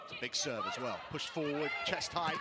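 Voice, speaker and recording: A man giving measured play-by-play commentary on a volleyball match, rising in excitement during rallies. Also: fairly high pitch. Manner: measured, descriptive play-by-play with occasional rises of excitement. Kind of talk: live sports commentary. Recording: broadcast mix with loud crowd and music underneath. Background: arena crowd noise and music.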